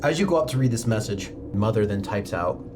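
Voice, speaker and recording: A man's voice: lowish voice, expressive, fairly quick, noisy background. A man narrating a tabletop game as game master, describing scenes steadily with the odd filler. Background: music.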